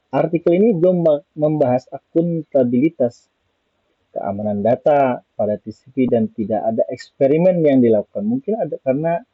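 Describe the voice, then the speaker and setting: The audio is thin and narrowband like a phone line, with very little room sound. An Indonesian man with a slightly rough, husky voice presents slides in an informal way. He explains point by point, haltingly, often repeating himself. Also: mid-range voice, no echo. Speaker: student presenter reviewing an article.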